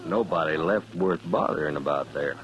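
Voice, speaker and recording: An actor playing an arrogant, menacing gunslinger in a vintage radio Western, with a folksy, taunting American drawl. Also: male voice, a bass voice, normal speed, a bit bright, muffled recording. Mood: smug, taunting. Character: menacing, arrogant gunslinger who enjoys killing. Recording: old radio recording with crowd murmur.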